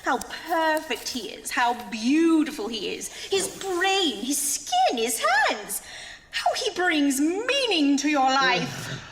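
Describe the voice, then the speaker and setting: A woman acts an indignant young fiancée with a high voice and sweeping, theatrical intonation. She scolds with scorn in a comic horror radio play, in a space with long reverb. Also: very animated, slow, fairly bright tone, a little hiss or hum, exaggerated voice. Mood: hurt, accusing. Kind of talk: comic horror audio drama acting. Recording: long reverberant room sound.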